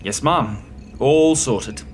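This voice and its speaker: A man's voice: mid-range voice, slow pace, slight background noise, natural voice. A man playing a flippant, sarcastic starship crewman in a science fiction audio drama. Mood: wry. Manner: wry, sarcastic.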